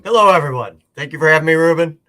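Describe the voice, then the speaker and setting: A man's voice: fairly high pitch, racing, sharp tone. A man from Wisconsin with an American accent, chatting casually as a guest on a live show. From Wisconsin, United States. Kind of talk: casual chat.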